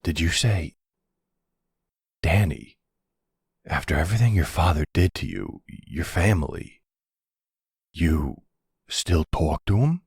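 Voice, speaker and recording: Mafia boss, male performer: middle-aged silver fox, husky low register, streetwise and fatherly, firm but protective, roleplay audio drama. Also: sing-song, dry recording, clean studio sound, full character voice. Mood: incredulous. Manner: slow, measured, fatherly, streetwise.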